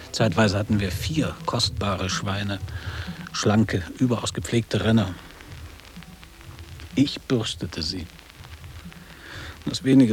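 An actor playing a middle-aged former boarding school pupil in a radio drama, blunt and reminiscing in measured, literary phrases. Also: male voice, lowish voice, slight background noise, poor audio. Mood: wistful reminiscing.

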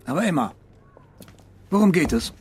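A man's voice: on the high side, expressive, normal speed, noisy background, lightly acted. An actor playing a smug vice-squad policeman, evasive and haughty, in a radio drama. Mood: guarded.

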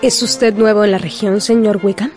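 A woman playing a proud, outspoken young gentlewoman with a teasing edge in a radio drama. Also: mid-range voice, steady tone, normal speed, faint music behind, lightly acted. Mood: curious, indignant.

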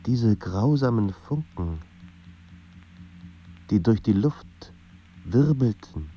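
A man performing as a grave, brooding narrator of literary radio drama, speaking in a measured way with dramatic pauses over a slightly muffled, noisy recording. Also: on the low side, lively intonation. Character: literary narrator of a troubled young writer's life, grave and brooding.

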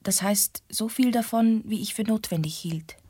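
An actress playing a reflective, guarded young woman narrating her story in a radio drama, with a husky voice. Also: medium pitch, normal speed, dark tone, dry recording, studio quality, lightly acted.

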